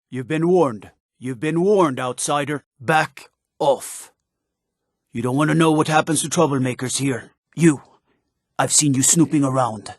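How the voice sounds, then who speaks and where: A man's voice: mid-range voice, dry recording, studio quality, natural voice. An actor playing a hostile male guard who threatens outsiders in a fantasy video game.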